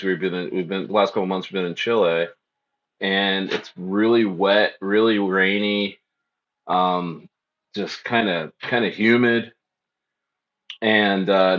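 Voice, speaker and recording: A man's voice: low-pitched, a bit bright, muffled recording. A man hosting a casual crypto livestream, speaking loosely and repeating phrases as he reads the chat.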